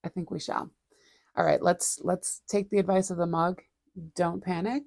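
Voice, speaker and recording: A woman, chatting casually and rambling as she hosts a relaxed art livestream, laughing often and poking fun at herself. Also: on the low side, moderate pace, vocal fry, no room sound, studio quality. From Boston area, USA. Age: 40s. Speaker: livestream host and artist. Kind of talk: casual chat. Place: home studio.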